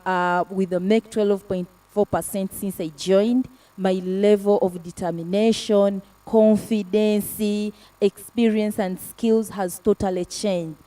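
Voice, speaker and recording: A woman advocate giving earnest testimony about a program, speaking haltingly with fillers and restarts. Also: on the low side, warm tone, recorded from a distance, low-quality recording. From Africa. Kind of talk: panel testimony.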